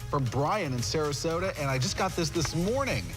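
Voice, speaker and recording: Male American TV forecaster, fairly high voice, chatty delivery, clear music bed. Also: lively intonation, fairly quick. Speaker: TV weather forecaster. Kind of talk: casual, chatty weather banter.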